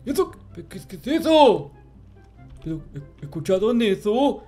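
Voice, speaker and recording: A man's voice: sits high, very animated, unhurried, warm tone, soft background music. A man voicing a childish, clueless, grumpy little game character, stammering and repeating himself in a Minecraft roleplay. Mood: confused. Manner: babyish, stammering, repetitive, easily flustered. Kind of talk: improvised Minecraft roleplay narration.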